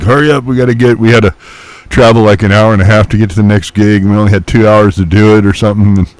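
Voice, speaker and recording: A man's voice: fairly low pitch, no room sound, low-quality recording. A man in casual radio chat, telling stories animatedly with loose, mildly profane slang. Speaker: musician chatting on CB radio.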